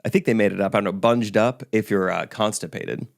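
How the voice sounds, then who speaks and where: A man co-hosting a podcast, telling stories in a dry, relaxed way and mimicking the people in them. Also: lowish voice, a little fast, gravelly. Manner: dry, relaxed storyteller who mimics others. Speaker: podcast co-host. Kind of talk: casual storytelling chat.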